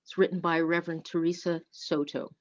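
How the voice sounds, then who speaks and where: A woman leading a church service, speaking and reading in a measured, deliberate way. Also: lowish voice, level tone, darkish tone. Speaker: worship service leader. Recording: dull, lo-fi online audio.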